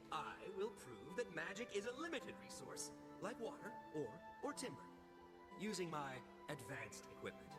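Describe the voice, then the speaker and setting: An actor playing an earnest gnomish scientist professor in a fantasy game, lecturing in a precise, formal way. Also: male voice, fairly high pitch.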